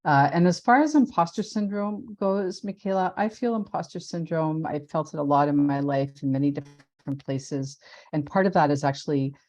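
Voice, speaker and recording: A woman with a low, warm voice leads a writing workshop over a video call. She speaks thoughtfully, pausing often and reaching for words. Her first language was an Italian dialect. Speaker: workshop facilitator. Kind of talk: casual group talk on a video call.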